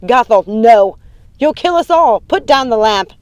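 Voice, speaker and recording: A woman's voice: lowish voice, animated, normal speed, a little mellow, no room sound, natural voice. A woman voicing a cruel, possessive witch mother in an audiobook, scornful, commanding and theatrical. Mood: furious, commanding. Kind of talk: audiobook character acting.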